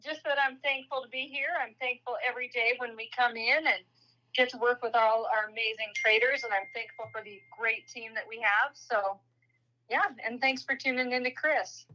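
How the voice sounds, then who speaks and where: A woman from Montana chatting on a livestream, easygoing and quick to laugh. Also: a little high, fairly even intonation, pushed and bright, muffled recording. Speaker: company boss, guest on stream. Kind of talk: casual livestream chat.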